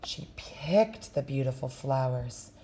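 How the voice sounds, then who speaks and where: A woman reading a children's story aloud with slow, expressive storytelling. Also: a bass voice. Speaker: storybook reader.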